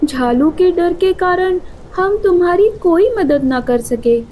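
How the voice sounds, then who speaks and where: A flighty, excitable forest bird from a cartoon, acted by a woman. The voice is very high yet mellow, with faint noise behind it. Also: takes it slowly, full character voice. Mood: apologetic, admiring. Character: frightened, apologetic forest birds, flighty neighbours.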